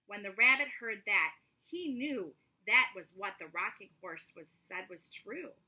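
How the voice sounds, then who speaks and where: A woman reading a children's story aloud in an expressive, dramatic manner. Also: mid-range voice, unhurried, breathy edge, highs cut off. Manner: dramatic, with whispered asides.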